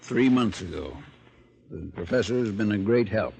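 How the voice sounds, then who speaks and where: A gruff, wealthy old American man, proud and secretive. He speaks bluntly and gives orders, in the manner of classic mid-century radio drama. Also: fairly low pitch, expressive, moderate pace, darkish tone, slight background noise. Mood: guarded, confiding. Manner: blunt, commanding. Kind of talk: 1940s-50s American radio drama acting.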